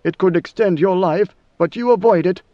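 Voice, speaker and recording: Imperious ancient ruler read by a man, high, commanding, measured, muffled dry audio. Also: no echo, natural voice. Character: ancient imperious male god-emperor, coldly commanding.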